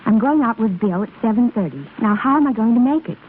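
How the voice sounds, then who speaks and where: A woman's voice: a little high, lively intonation, fast talker, natural voice. An actress playing an impatient, tired young woman grumbling at her friend in a 1930s radio commercial skit. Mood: irritated. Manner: complaining. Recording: muffled scratchy 1930s radio broadcast.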